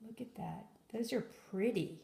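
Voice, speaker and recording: Echoey room, middle aged lady chatting about a storybook, expressive, asks questions, normal pitch. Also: normal speed, creaky. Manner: expressive, engaged, asking questions. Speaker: daughter listening and commenting on a story. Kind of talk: casual chat about a picture book. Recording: roomy echo around the voice.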